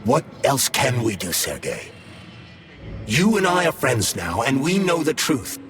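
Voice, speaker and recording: An actor playing a male consciousness trapped in a polymer glove, speaking smoothly and gravely. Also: medium pitch, wildly swooping pitch, a little slow, fairly bright tone, exaggerated voice. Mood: earnest. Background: music.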